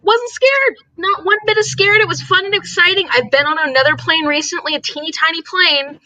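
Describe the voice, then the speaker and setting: A woman's voice: very high voice, a little fast, sharp tone, no echo, poor audio. A woman co-hosting an online reading livestream, chatting casually and repeating herself for emphasis. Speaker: co-host of online reading sprints.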